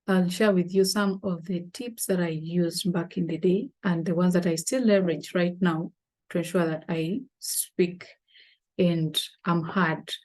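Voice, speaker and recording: Ugandan woman host, young adult, lowish mellow voice, unscripted with fillers. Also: fairly even intonation, rough recording. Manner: unscripted, many fillers and pauses, quotes her own past thoughts. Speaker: channel host. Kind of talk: casual talk to camera.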